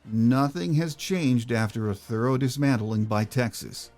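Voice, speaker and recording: A man reads scripted podcast narration as the host. His pitch sits in a middle range, with faint music playing underneath. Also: measured pace, a little mellow.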